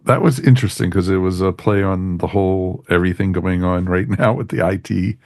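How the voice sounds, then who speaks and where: A man with a low-pitched voice hosts a casual review chat. He speaks informally as he steers the discussion. The sound is dry, with very little echo. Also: fairly quick, a little mellow. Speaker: podcast host.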